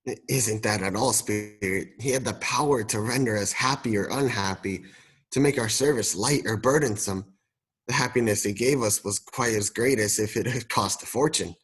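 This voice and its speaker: A young man playing a troubled, regretful old miser in a radio play, with a husky voice. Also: on the low side, moderate pace. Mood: subdued regret. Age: young adult.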